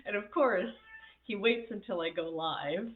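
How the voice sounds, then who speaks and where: A woman coaching on a live stream, chatty and laughing often, her voice raspy from a recent illness. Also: medium pitch, unhurried. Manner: chatty, laughs often, reads viewer comments. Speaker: happiness coach. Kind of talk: casual live-stream talk. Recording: tinny phone-like sound in an echoey room.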